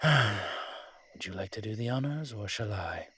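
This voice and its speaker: A man playing a wry, flirtatious immortal incubus demon in a roleplay audio drama, with a husky voice and a dry, teasing, conversational manner. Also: lowish voice, animated, unhurried, bright, forceful tone, a little hiss or hum. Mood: uneasy, wry.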